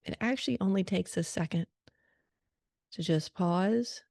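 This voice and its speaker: Woman, meditation guide, very slow pace, soft-edged mellow tone, gentle pauses, calm and soothing. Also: on the low side, vocal fry, dry recording, clean studio sound.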